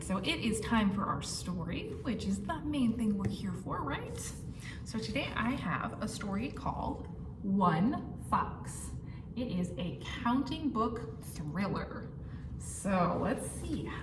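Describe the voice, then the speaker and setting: Slow-paced woman leading storytime, roomy echo. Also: mid-range voice. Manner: encouraging, talking to young children. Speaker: library storytime leader. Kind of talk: children's storytime with action songs. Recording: roomy echo, distant mic, clear noise.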